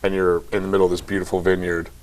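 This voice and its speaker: A young man from Kentucky with a very deep voice, chatting casually in a TV interview as a theater director. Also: rapid-fire, low-quality recording. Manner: relaxed, chatty, light laughs.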